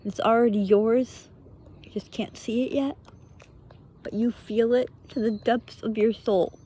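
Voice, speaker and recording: A woman giving an earnest, unscripted spiritual pep talk in short, halting phrases, often posing rhetorical questions. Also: on the high side, fairly even intonation, mellow, breathy edge. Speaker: motivational speaker, vlogger.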